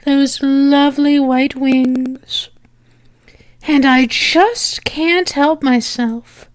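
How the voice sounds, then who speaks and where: A woman playing a flirtatious female demon in an ASMR roleplay, teasing and sultry, breathy and often slipping into a whisper, close to the microphone. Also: sits high, fairly even intonation, dry recording. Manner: sultry, teasing, drawn-out with lingering pauses.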